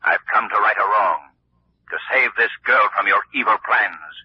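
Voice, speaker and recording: An actor playing an unseen, righteous vigilante who declaims slowly and ominously in an old radio thriller. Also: male voice, lowish voice, dry recording. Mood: stern, accusing. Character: unseen vigilante avenger, menacing, commanding, righteous man. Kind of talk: 1940s radio thriller acting. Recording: muffled, husky old-time radio recording.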